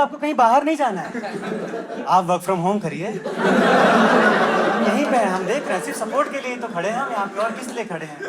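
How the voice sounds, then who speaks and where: A young man from Delhi doing a conversational stand-up comedy set and briefly acting out the voices of locals. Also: high-pitched. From Delhi, India. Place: comedy club stage with live audience. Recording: live crowd laughter. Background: audience laughter.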